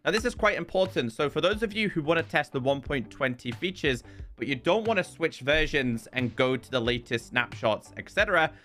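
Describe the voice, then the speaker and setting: A man's voice: a little high, expressive, a little fast, bright, forceful tone. A man hosting a gaming video, giving casual update commentary and explaining things step by step. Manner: explanatory, walking through menus step by step. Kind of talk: casual scripted-sounding game update commentary. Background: background music.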